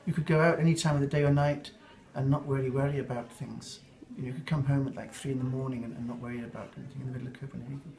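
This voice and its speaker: A man's voice: medium pitch, steady tone, racing, vocal fry, hardly any pauses, darkish tone, poor audio. An older man with an English accent, answering interview questions in a reflective, rambling way with fillers and restarts. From England.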